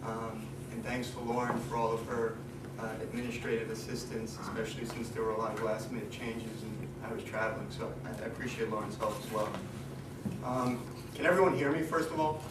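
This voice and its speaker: Hoarse male lecturer, flat delivery, halting; recorded from afar in an echoey room with a noisy background. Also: fairly low pitch, far from the mic. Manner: hesitant, many ums and restarts. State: losing his voice. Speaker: guest lecturer. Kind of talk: academic seminar talk.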